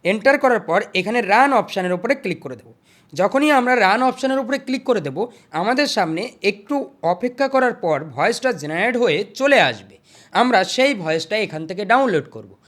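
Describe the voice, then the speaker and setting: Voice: medium pitch, animated, normal speed, a bit bright. A tutorial host narrating screen steps in an instructional way, repeating each step before moving to the next.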